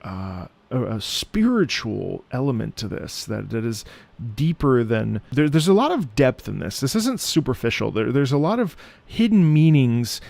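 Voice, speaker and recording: A man's voice: medium pitch, big pitch swings, moderate pace, gravelly, darkish tone. A man giving reflective reaction commentary on music, musing and searching for his words as he goes.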